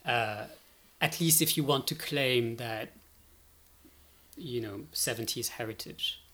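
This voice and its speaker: A man's voice: medium pitch, takes it slowly, pushed and bright. A man speaking as an academic guest expert, explaining politics analytically and often checking in as he goes.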